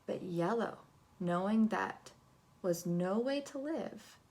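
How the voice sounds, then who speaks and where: A woman reading a picture book aloud to children in an expressive, dramatic way, moving between hush and big swells. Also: medium pitch, takes it slowly. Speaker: storybook reader.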